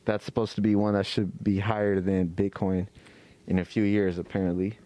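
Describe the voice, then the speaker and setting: Low dark-toned guy rambling on a podcast, swears a lot, restarts sentences. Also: level tone, moderate pace, dry recording, lo-fi sound. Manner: loose, profane, rambling with many restarts. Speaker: podcast co-host. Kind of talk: casual podcast banter.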